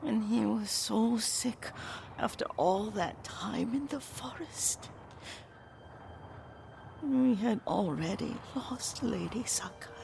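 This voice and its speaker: An actress playing a frail, devoted elderly Japanese woman in a game drama, breathy and weak, halting and wistful, often fading into a whisper. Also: a little high, darkish tone, a little hiss or hum, heavily acted. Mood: wistful, tender. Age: older. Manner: tender, halting, wandering. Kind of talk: dramatic video game acting. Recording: music behind the voice.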